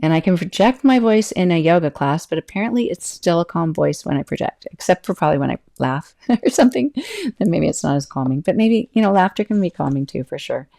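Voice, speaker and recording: A middle-aged woman hosts her own podcast as a yoga teacher, speaking in a mellow, gravelly voice. She talks casually, breaks off her own sentences and laughs often. Her voice stays calm even when it turns loud and carries. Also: fairly low pitch, a little fast. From California. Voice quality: can be loud and projecting, calm-sounding. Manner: chatty, self-interrupting, laughs often. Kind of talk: casual solo podcast talk.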